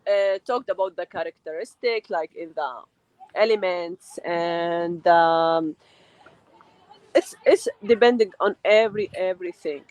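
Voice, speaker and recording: Woman, loud voice, keeps pausing and restarting, dry room. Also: on the low side, no echo, rough recording. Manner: hesitant, many fillers and restarts. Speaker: guest. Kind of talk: casual chat.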